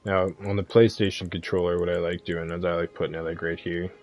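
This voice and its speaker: A man's voice: deep voice, flat delivery, quick pace, a little mellow, dull audio. A man giving a casual, easygoing game walkthrough as a streamer. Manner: laid-back, easygoing. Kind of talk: casual game guide commentary.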